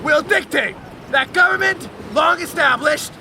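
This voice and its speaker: A man giving a loud, dramatic, emphatic reading of a historical document outdoors, with deliberate phrasing. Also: very high voice, gravelly. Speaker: reader at an outdoor public reading. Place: outdoors on a lakeside beach. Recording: outdoor crowd and motorboat noise.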